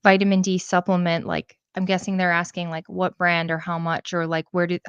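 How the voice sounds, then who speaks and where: A woman's voice: on the low side, little pitch movement, brisk, clean tone, no echo, dull audio. A woman hosting a casual video-call interview, chatty and asking questions with many fillers. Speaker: show host.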